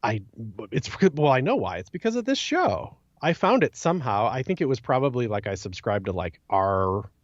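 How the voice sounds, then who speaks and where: A man's voice: medium pitch, big pitch swings, moderate pace, hardly any pauses, no echo. Enthusiastic rambling man, slightly muffled dry audio. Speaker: podcast guest. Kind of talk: casual podcast chat.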